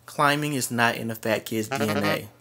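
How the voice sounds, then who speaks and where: A man with a mid-pitched voice delivers scripted comic narration. He is sardonic, with slangy and profane phrasing. Light music runs underneath. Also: normal speed, bright, forceful tone. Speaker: host and comedic storyteller.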